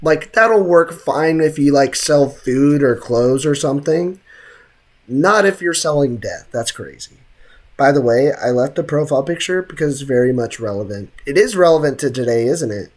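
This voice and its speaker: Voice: mid-range voice, expressive, normal speed. A game streamer chatting casually with live viewers while reacting to a game, teasing and slangy. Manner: teasing, slangy banter with chat.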